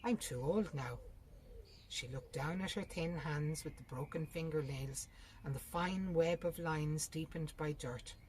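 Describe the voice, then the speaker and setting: A woman's voice: low-pitched, measured pace, a little mellow. A woman reading literary fiction aloud as a scripted narration. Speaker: audiobook reader.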